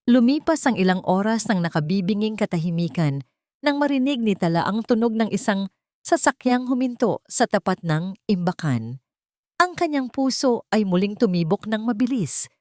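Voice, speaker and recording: A woman's voice: lowish voice, a little mellow, no echo, clean studio sound. A woman narrator reading a scripted audio drama in a dramatic storytelling style.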